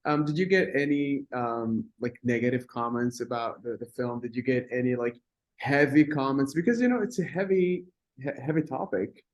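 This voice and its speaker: A man interviewing a guest for a film festival in an informal, halting way, searching for words. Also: mid-range voice.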